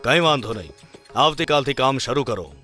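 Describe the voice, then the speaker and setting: A bossy cartoon emperor, blunt and commanding, normal pitch. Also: male voice, big pitch swings, a little fast, pushed and bright, dry recording, faint music behind. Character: stern proud male emperor, middle-aged. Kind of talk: cartoon storybook dub.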